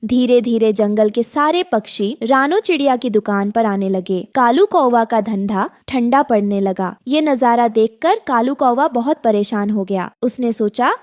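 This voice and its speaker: Casting: woman storyteller for a moral cartoon tale, slightly high voice, no room echo. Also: unhurried, hardly any pauses, a little mellow, studio quality. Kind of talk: scripted moral-story narration.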